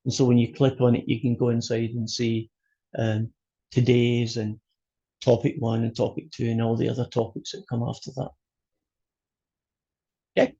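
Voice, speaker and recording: A man's voice: mid-range voice, little pitch movement, normal speed, pauses often, dark tone, husky, highs cut off. A Scottish man, a college math instructor, introducing his course over a video call in a chatty, joking way. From Scotland. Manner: chatty, joking, loose with fillers. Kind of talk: online class introduction.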